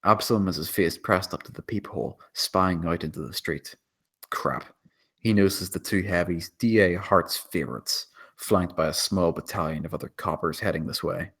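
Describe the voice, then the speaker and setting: A man's voice: sits low, moderate pace, a bit bright, husky. A man reading out stage directions as scripted screenplay narration at a table read.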